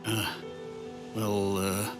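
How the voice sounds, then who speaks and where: An actor playing a brooding, earnest young warrior lieutenant with a blunt manner in an anime game. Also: male voice, fairly low pitch, little pitch movement, drawn-out words, music in the background. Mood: uneasy, respectful. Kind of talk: English-dubbed anime game dialogue.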